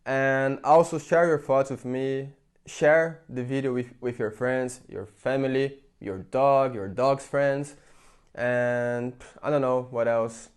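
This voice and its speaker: A man's voice: medium pitch, steady tone, slow pace, clean tone. A man from Brazil with a Brazilian Portuguese accent, speaking English in a chatty, rambling opinion vlog full of fillers and restarts. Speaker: vlogger. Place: in England.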